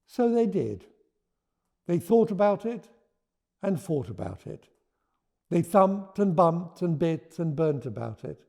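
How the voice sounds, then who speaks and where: A man speaking as a children's storyteller, with earnest, deliberate phrasing. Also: on the high side, very animated, vocal fry, warm tone. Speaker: author and storyteller. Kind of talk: expressive picture-book read-aloud and informal explanation.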